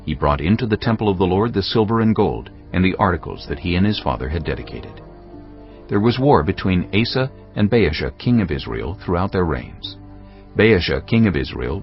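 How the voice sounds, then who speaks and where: A man narrating scripture in a measured storytelling style for a dramatized audio Bible. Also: low-pitched, highs cut off.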